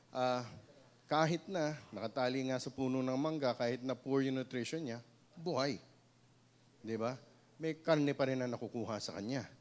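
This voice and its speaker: A man's voice: mid-range voice, a little mellow. A man in his thirties with a Filipino accent giving a seminar talk on a handheld microphone in a small room, speaking conversationally and often adding a questioning "no?". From Philippines. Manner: conversational, frequent "no?" tag questions and restarts. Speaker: seminar speaker, pig breeder. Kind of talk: seminar talk mixing English and Tagalog.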